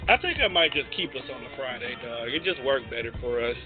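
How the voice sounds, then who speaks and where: A man's voice: mid-range voice, animated, quick pace, soft background music. A man hosting a casual radio show, explaining things in slangy, emphatic phrasing. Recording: tinny phone-like line, faint noise.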